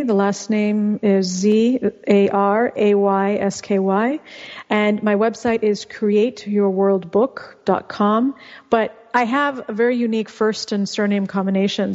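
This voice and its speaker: A woman's voice: mid-range voice, a little mellow. Chatty woman on a muffled, reverberant line. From California. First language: Russian. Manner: chatty, explanatory, with frequent restarts. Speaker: guest, author. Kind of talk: casual interview talk.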